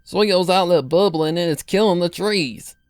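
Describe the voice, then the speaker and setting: A man's voice: high-pitched, a little slow, smooth, dry recording, soft background music, pitched above the performer's own voice. A man voicing an elderly country granny pony from a cartoon fan story, with a folksy rural Southern drawl. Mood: worried, folksy. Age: older. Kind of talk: fan fiction character reading.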